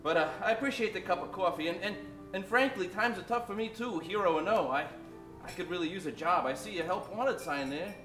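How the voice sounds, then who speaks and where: An actor playing a humble, hard-up war hero in an old-time radio comedy, halting, plainspoken and earnest. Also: male voice, sits high, roomy sound, over music, natural voice. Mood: humble, awkward.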